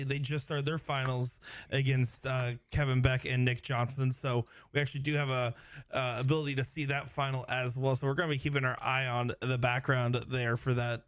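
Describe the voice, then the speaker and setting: A man commentating a darts tournament, calmly explaining brackets and results. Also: mid-range voice, no room sound. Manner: steady, informative, fills in bracket details. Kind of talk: live sports commentary.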